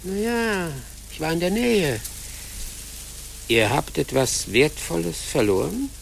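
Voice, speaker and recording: Fox companion for radio drama, playful and reassuring, gravelly, extremely lively intonation, faint ambience. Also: male voice, fairly high pitch, slow pace, slight background noise, full character voice. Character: friendly, wry, clever male fox guide. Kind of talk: German radio play acting.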